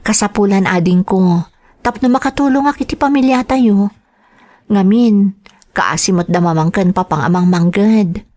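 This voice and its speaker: A woman's voice: medium pitch, normal speed, dark tone, natural voice. A woman with an Ilocano accent playing a dutiful, earnest eldest daughter in a sentimental radio drama. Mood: gentle, caring. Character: dutiful eldest daughter, young woman going overseas to work. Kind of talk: Ilocano radio drama acting and first-person narration.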